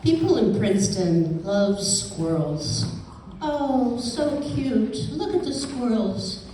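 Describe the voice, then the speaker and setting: A woman narrates comic puppet theater very slowly, with dramatic emphasis. Her fairly high voice rings in a heavily echoing room, captured at some distance from the mic. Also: animated, warm tone. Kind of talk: scripted comic storytelling for live puppet show. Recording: echoey hall, distant mic, faint noise.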